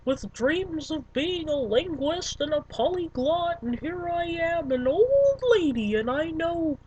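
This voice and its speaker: Brief: woman playing a proud, high-voiced old lady, delighted. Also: sing-song, a little slow, dark tone, dull audio, a little hiss or hum. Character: her future self as a proud old lady.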